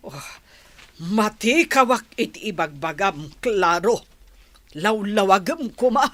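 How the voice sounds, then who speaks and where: A woman's voice: mid-range voice, lively intonation, slow, a bit bright, no echo. A woman acting a disapproving, grudging older grandmother in a Filipino radio drama, with a complaining manner. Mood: probing.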